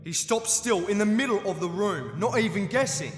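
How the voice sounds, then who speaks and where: A man's voice: high voice, animated, normal speed. Man acting a smug gnome king, cavernous echo. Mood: smug, impatient. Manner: sly, mock-innocent, flippant. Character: smug, mocking male gnome king villain. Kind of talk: audio drama acting.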